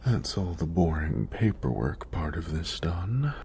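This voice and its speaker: A man playing a gentle, flirty EMT in a soothing, soft-spoken ASMR roleplay, breathy and deep, with reassuring praise. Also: very animated, measured pace, slight background noise, full character voice. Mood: soothing, attentive. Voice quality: deep, gravelly, breathy near-whisper. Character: gentle, flirty hunky male EMT examiner.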